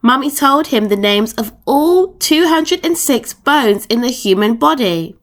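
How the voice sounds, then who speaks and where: A woman reading a children's picture book aloud in an expressive storytelling manner. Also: sits high, unhurried. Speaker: storytime reader.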